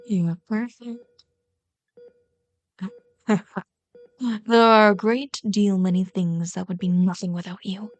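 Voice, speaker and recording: A woman playing a bitter, lovelorn villain in an intimate ASMR roleplay, deliberate and intense, often slipping into breathy whispers. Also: medium pitch, steady tone, lightly acted. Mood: tender, intense. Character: bitter, lovelorn villain pleading with a hero's wounded sidekick.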